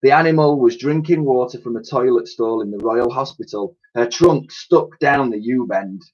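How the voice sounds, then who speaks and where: A man reading a children's story aloud in an animated, expressive storytelling style. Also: medium pitch, normal speed, muffled recording.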